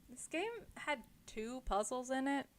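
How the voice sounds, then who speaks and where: A woman's voice: high-pitched, measured pace. A woman with an American accent chatting casually and emphatically as a podcast co-host. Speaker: book club podcast co-host. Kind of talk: casual chat.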